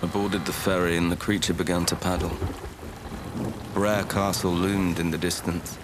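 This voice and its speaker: A man acting brooding game inquisitor, low voice, somber measured narration, watery rain ambience and noise behind. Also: flat delivery, close to an everyday voice. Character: brooding inquisitor hero narrating his grim journey.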